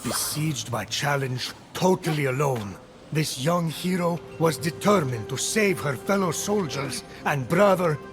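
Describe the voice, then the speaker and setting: An actor playing a grave, patient titan who narrates a game's tale in a measured, formal, dramatic way. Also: male voice, on the high side, animated, music in the background. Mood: solemn, dramatic. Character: Prometheus, grave, patient male titan storyteller.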